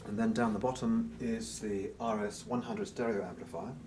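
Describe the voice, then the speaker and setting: A man's voice: lowish voice, fairly even intonation, normal speed. A man giving an informal, explanatory technical product presentation as an exhibitor at a show. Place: room at an audio show. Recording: roomy, distant mic, music behind.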